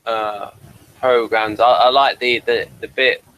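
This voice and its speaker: A man's voice: mid-range voice, steady tone, slow, fairly bright tone, smooth, no room sound, lo-fi sound. A man giving his opinions in casual review chat as a podcast co-host, animatedly acting out imagined quotes.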